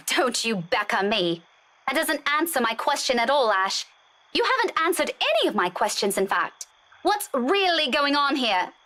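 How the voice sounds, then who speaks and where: An indignant young woman in a visual novel game. Her voice is high and very lively in pitch, with a bright edge. She is sarcastic, self-righteous and ready to confront. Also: moderate pace, heavily acted.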